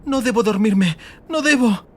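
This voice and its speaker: A woman's voice: fairly high pitch, expressive, measured pace, voice pushed up. A woman voicing an exhausted, desperate young girl in an audiobook, with a strained, urgent delivery. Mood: weary, determined. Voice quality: hoarse.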